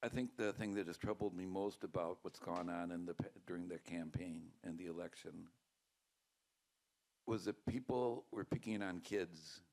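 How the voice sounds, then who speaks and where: A man with a somewhat low voice moderates a community panel. He talks in a loose, chatty way, with fillers and restarts. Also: moderate pace, darkish tone. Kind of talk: casual moderating.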